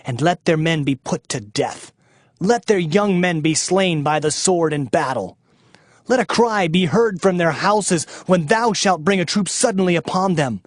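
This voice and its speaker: A man's voice: high-pitched, animated, a little slow, no echo, clean studio sound. A man playing an impassioned Hebrew prophet who solemnly proclaims doom and judgment in a dramatized scripture reading. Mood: bitter pleading. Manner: solemn, declamatory, emphatic. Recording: music bed under the voice. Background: music.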